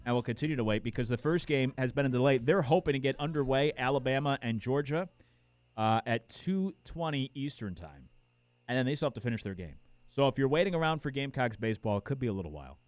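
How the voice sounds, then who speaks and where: A man's voice: medium pitch, animated, fast talker, dry recording, soft background music. A man hosting a sports talk radio show in a studio, talking casually with lots of fillers while he is losing his voice. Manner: casual, rambling, many fillers. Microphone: studio microphone.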